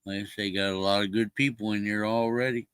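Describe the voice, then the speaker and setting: A man's voice: lowish voice, fairly even intonation, fairly quick, fairly bright tone, clean tone, no room sound. An older man chatting casually on a livestream, rambling with many fillers and restarts. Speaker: livestream co-host. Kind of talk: casual chat.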